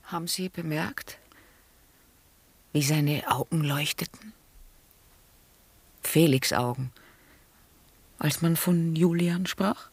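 An actress playing a weary, reflective middle-aged married woman in a German radio drama, with a breathy, hushed and grave delivery. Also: deep voice, takes it slowly, pauses often, no echo, full character voice. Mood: pensive. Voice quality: husky, breathy. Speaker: actor.

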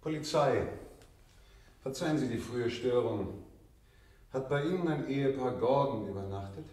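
An actor playing a polite, chatty police sergeant in a radio crime play, matter-of-fact and folksy. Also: male voice, medium pitch, lively intonation, normal speed, darkish tone, echoey room, recorded from a distance, lightly acted. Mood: polite, unhurried. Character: unsuspecting, chatty male village-call police sergeant.

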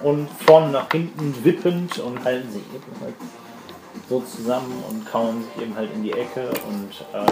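A man running a tabletop game as game master, explaining rules and improvising scenes in a halting, explanatory way. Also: medium pitch, fairly even intonation, music in the background. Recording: roomy home recording with clear noise.

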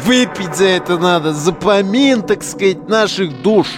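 A mouthy mocking grave digger in an audio drama, highish voice, coarse slang, music clearly under it. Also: male voice, lively intonation, unhurried. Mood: jeering amusement. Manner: slangy, coarse, jeering banter. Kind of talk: modern audio drama acting.

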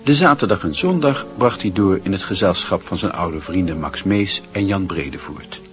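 A man narrating a radio play in a measured, literary storytelling manner. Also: deep voice, animated, a bit bright, faint music behind.

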